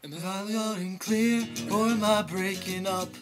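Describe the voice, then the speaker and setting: A teenage boy singing loudly and earnestly along to a backing track in a dark bedroom, into a webcam mic. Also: high-pitched, fairly even intonation, a little slow, over loud music. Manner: loud, earnest amateur singing. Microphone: built-in webcam mic. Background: backing track from a small speaker.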